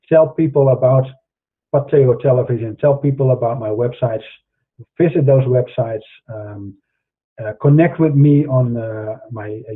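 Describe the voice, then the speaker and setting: A man's voice: medium pitch, slightly rough, narrow, tinny audio. A middle-aged man with a Dutch accent, hosting an online show and preaching earnestly to his viewers, with many fillers and restarts. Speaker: host. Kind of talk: monologue to camera in an online show.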